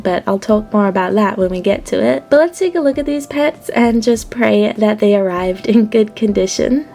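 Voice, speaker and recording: A woman's voice: a little high, darkish tone. A woman in her thirties from Australia, talking through an unboxing in a chatty, upbeat way, her voice stuffy from a cold. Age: 30s. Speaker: collector vlogger. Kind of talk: casual unboxing vlog.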